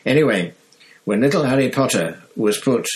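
A man voicing an excitable, gossipy young bus conductor who chatters in slang. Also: mid-range voice, moderate pace, pitched above the performer's own voice, natural voice, rough recording.

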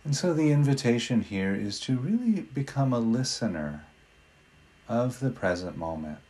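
A man leading a guided meditation in a gentle, unhurried manner with long pauses between phrases. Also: medium pitch, big pitch swings.

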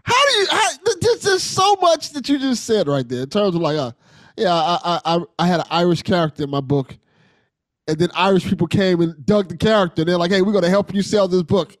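A male podcast host with a somewhat high voice and very animated intonation. He interviews casually and enthusiastically, in informal language, on a dry recording. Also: brisk, dry recording.

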